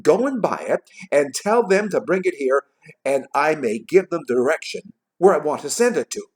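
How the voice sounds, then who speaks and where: A storyteller voicing an overjoyed, excitable old gentleman who gushes and whoops in a storybook reading. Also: medium pitch, moderate pace, voice pushed up, close to an everyday voice. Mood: delighted, earnest. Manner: exclamatory, whooping, gushing.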